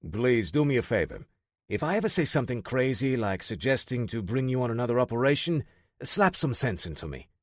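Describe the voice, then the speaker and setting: A man voicing a grave veteran male commander who speaks in measured, solemn lines. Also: mid-range voice, big pitch swings, no room sound, clean studio sound, lightly acted.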